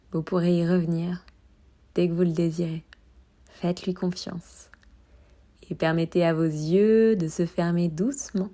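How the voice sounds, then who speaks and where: Female meditation guide: calm, soothing, lowish mellow voice, soft delivery with unhurried pauses. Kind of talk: guided meditation, body scan.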